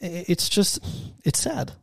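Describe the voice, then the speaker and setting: A man co-hosting a casual faith podcast, talking earnestly with halting restarts. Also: sits high, big pitch swings, vocal fry, dry recording. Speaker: podcast co-host.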